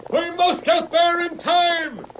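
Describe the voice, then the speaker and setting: An actor playing a bold, heroic American cowboy rider in a 1930s radio serial. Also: male voice, very high-pitched, steady tone, slow pace, fairly bright tone, a little hiss or hum, full character voice. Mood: rousing, urgent. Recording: dull 1930s radio recording.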